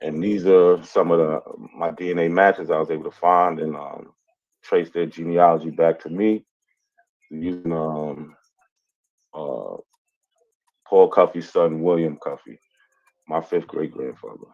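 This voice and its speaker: A man talking casually through his family records, hesitant and pausing often on fillers. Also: deep voice, fairly even intonation, highs cut off. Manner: hesitant, many filler pauses. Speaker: amateur genealogist. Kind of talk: casual explanatory talk.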